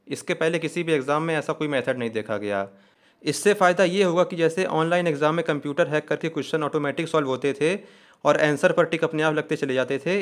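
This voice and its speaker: A man with a somewhat high-pitched voice narrates an explanatory news update as a channel host. He goes through each point in a methodical, step-by-step way, spelling out terms as he goes.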